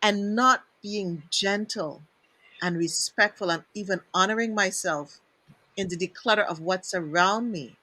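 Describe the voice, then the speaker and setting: A woman's voice: mid-range voice, a little slow, sharp tone, no room sound, poor audio. A woman from Trinidad with a Trinidadian accent, hosting a casual talk show and speaking in an effusive, emphatic way. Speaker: host.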